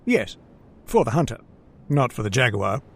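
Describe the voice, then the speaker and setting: A man's voice: medium pitch, very animated, normal speed. A man playing a genial, philosophical hunting companion with a superstitious unease, in old-time radio drama style. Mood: musing.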